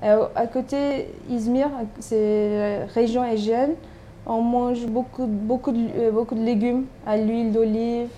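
A woman from Turkey, a native Turkish speaker, talking in a casual interview. Her voice sits a little high and sounds mellow and dark. She hesitates a lot and restarts her sentences, with faint noise in the background. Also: fairly even intonation, a little slow. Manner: hesitant, many fillers and restarts. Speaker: interviewee, doctoral student.

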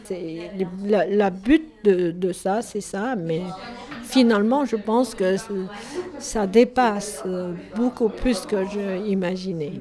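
A woman from China speaking French as a second language with a Chinese accent, answering interview questions in a halting way and often correcting herself. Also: mid-range voice, crowd noise. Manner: halting, searching for words, self-correcting. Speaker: tea shop owner.

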